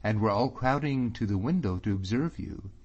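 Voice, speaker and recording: A man's voice: fairly low pitch, lively intonation, normal speed, a little mellow, pitched above the performer's own voice. A man reading an audiobook, voicing an earnest young man who pleads anxiously, close to his own voice. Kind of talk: audiobook character voice. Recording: slightly muffled recording.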